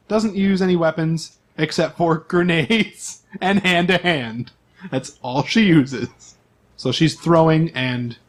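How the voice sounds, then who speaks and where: A man acting as game master, reading out character profiles in an informal, list-like way with asides. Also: sits high.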